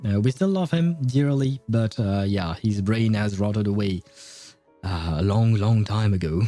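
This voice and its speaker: A man's voice: fairly low pitch, measured pace, dark tone. A man chatting casually on a game livestream, hesitant, with chuckling asides to his viewers. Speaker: livestream gamer reading chat and game dialogue aloud. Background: faint game music.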